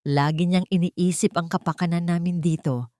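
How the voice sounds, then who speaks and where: A woman's voice: on the low side, fairly even intonation, normal speed, a little mellow, no room sound, clean studio sound, natural voice. A woman voicing a greedy, scheming wife in an audio story, gushing with sugary, insincere sweetness. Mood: gushing, fawning.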